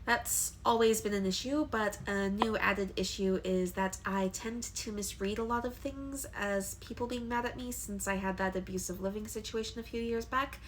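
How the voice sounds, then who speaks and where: A woman's voice: mid-range voice, steady tone, moderate pace, few pauses, a little hiss or hum, rough recording. Congested young woman, hesitant vlog talk. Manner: hesitant, with frequent ums and restarts. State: congested from allergies. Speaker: vlogger. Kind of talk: candid personal vlog talk.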